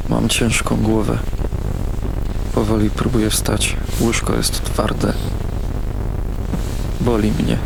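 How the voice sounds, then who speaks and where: A young man playing a troubled, numb young narrator in an audio drama, speaking in short, subdued, halting first-person sentences. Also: lowish voice, vocal fry, very noisy. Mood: groggy, pained. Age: young adult.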